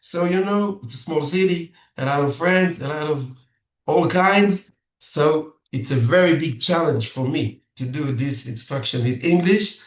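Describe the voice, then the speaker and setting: Earnest Israeli man on a roomy call. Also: on the high side. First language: Hebrew. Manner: earnest, halting non-native phrasing with many restarts. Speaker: dating coach for singles. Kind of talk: lecturing to parents over video call. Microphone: video call. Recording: distant, roomy, dull video-call audio.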